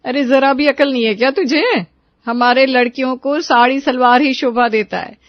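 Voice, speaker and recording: A woman voicing a judgmental middle-aged neighbourhood aunt who taunts and scolds, in an animated story. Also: high-pitched, normal speed, no room sound, highs cut off, natural voice. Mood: nosy, scolding. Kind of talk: Hindi animated moral story dialogue.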